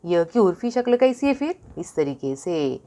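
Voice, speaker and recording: Woman teacher with an Indian Urdu accent and a slightly low voice, coaxing small children through a lesson. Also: sing-song, mellow. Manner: coaxing, asks questions and answers them herself; stretches words and repeats them slowly for children. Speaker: primary school Urdu teacher. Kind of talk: online lesson for young children.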